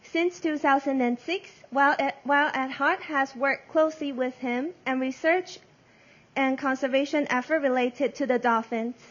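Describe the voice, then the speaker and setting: A woman's voice: high voice, unhurried, dull audio. A woman whose first language is Mandarin, moderating a press conference and reading prepared introductions carefully, stumbling and correcting herself.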